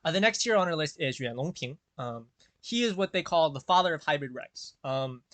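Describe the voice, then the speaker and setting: A man's voice: fairly high pitch, lively intonation, a little fast, fairly bright tone, no room sound. A young American man giving earnest, rambling commentary full of fillers and restarts. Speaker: video creator.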